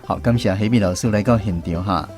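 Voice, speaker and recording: A man's voice: lowish voice, fairly quick, darkish tone, soft background music. A man with a Taiwanese accent hosting an educational language quiz on the radio. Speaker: radio host of a language segment.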